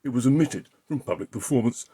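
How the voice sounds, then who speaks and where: A man reading an opinion essay aloud as an impression of a pundit, with a pompous, deliberate delivery and emphatic pauses. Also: medium pitch, warm tone, slightly rough. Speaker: amateur reader of a political article.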